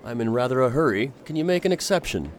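An actor playing an earnest, determined gentleman hero in a radio drama, speaking gravely and formally. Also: medium pitch, sing-song, fast, slight background noise, natural voice. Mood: hurried. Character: earnest, determined gentleman hero recounting his perilous adventure. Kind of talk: radio drama narration and acting.

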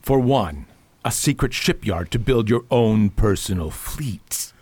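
A man's voice: fairly low pitch, animated, unhurried, darkish tone, breathy edge, no room sound. An actor playing a pompous, power-hungry male senator in a radio drama, grandiose and blustering, as if always making a speech. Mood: commanding, scheming. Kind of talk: old-fashioned radio drama acting.

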